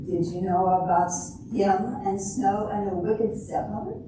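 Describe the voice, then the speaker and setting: A woman's voice: mid-range voice, steady tone, measured pace, natural voice. An older woman playing a disillusioned, wry Cinderella in an amateur stage reading, with a dry, sardonic delivery. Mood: wry, bitter. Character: jaded, wry grown-up Cinderella, disillusioned with romance. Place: echoey room at a retirement community event. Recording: very echoey room, distant mic, hissy noise.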